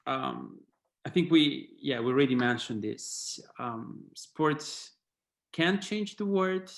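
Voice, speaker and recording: A man's voice: medium pitch, slow, creaky. A man with an Italian accent, speaking hesitantly with many fillers as a panelist on a video call. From Italy. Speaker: panelist, head of policy at a sports human rights body. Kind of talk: online panel talk with slides.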